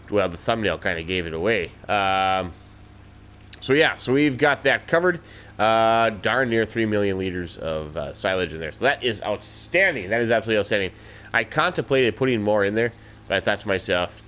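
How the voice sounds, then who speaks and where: A man's voice: fairly low pitch, a bit bright. A man casually narrating his farming game as a let's play host, folksy and chatty with mild exclamations, a little stuffed up in the nose. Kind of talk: casual gameplay commentary.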